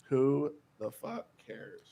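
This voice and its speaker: A man's voice: medium pitch, big pitch swings, slow, creaky, dark tone, dull audio. A man with a Texas accent, co-hosting a podcast in casual, blunt, profane chat, laughing often. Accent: Texan. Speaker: podcast co-host.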